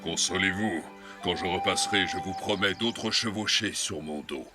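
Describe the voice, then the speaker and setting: An actor voicing an old bison scout in a cartoon, earnest and self-doubting, with a heavy voice and solemn, halting speech. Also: male voice, natural voice. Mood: reassuring. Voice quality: deep, heavy voice. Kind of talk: dubbed cartoon acting. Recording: loud music under dialogue.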